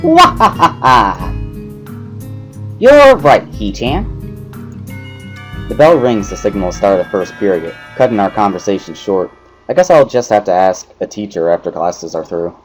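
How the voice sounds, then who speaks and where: A man narrating a first-person visual novel story as an expressive dramatic reading. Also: deep voice, fairly quick, faint music behind.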